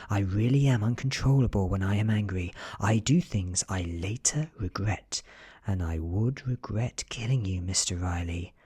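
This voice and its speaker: A British man voicing a menacing ancient female vampire in an audiobook, speaking slowly and softly in calm, half-whispered threats. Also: on the low side, slightly rough, no echo, studio quality. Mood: cold threatening.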